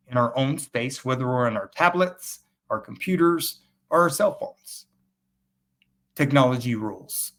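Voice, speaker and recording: A man's voice: mid-range voice, a bit bright. A man in his fifties hosting a livestream, speaking earnestly and emphatically as he repeatedly addresses the fathers watching. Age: 50s. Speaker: host and fatherhood author. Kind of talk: motivational talk to viewers. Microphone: webcam.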